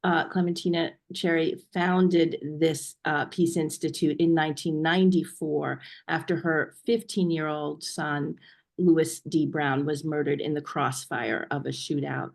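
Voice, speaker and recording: Woman, webinar host, low pitch, hesitant with ums, video call mic. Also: steady tone, unhurried, creaky. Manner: hesitant, many ums while reading a bio. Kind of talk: introducing a guest on a webinar.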